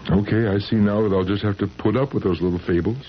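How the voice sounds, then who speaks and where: An actor playing an earnest, devoted husband in 1970s radio drama, hesitant and pleading. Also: male voice, lowish voice, quick pace, lightly acted. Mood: wistful. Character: earnest devoted husband telling his strange story. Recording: dull, noisy 1970s radio recording.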